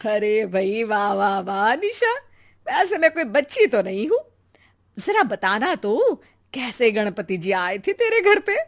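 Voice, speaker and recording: A high-voiced, envious rich neighbour woman from a moral storybook, performed by a woman. She is greedy and scornful, with a nosy, exclamatory delivery. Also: big pitch swings, unhurried. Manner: exclamatory, nosy, mock-admiring. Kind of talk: Hindi moral storybook acting.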